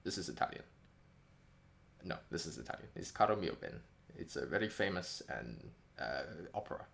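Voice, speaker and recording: A man's voice: very deep voice, very animated, normal speed, gravelly, a bit bright. A man with a light New Zealand English accent, chatting casually and wryly on a live stream, often correcting himself. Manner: rambling, self-correcting, wry. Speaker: indie game developer streaming a devlog.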